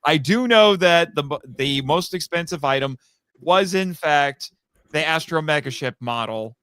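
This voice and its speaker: A man's voice: fairly high pitch, expressive, a little slow, a bit bright, clean tone, no room sound. A man talking casually as a guest on a fan podcast, telling stories and acting out quoted remarks.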